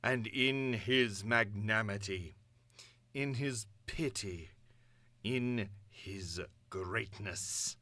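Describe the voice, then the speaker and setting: A man performing a grandiose supervillain dictator's monologue, pompous and deliberate, addressing the world in imperious, theatrical declamation. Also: fairly low pitch, pushed and bright, dry recording, full character voice. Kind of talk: dramatic villain monologue.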